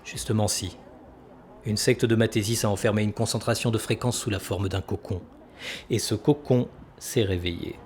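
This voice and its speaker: An actor playing a calculating senator who persuades with measured authority in an audio drama. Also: male voice, lowish voice, fairly even intonation, a little mellow, a little hiss or hum, lightly acted. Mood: conspiratorial. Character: calculating, persuasive male senator and military schemer.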